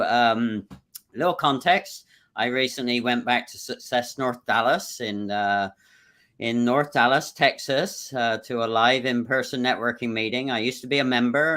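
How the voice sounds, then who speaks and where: A man's voice: medium pitch, a bit bright. A man hosting a casual livestream interview over a video call, joking and chuckling often and wandering between thoughts. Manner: jokey, chuckles often, rambling. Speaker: livestream host.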